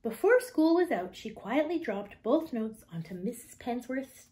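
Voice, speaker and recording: A woman in her thirties reading a children's picture book aloud with expressive, emphatic storytelling delivery. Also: a little high, unhurried, a little hiss or hum.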